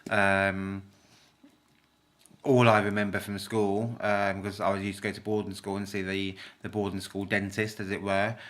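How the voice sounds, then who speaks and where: A man's voice: on the low side, level tone, normal speed, fairly bright tone. A man talking casually and unscripted to camera as a vlogger, hesitant and thinking aloud as he goes. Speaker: vlogger reviewing a product.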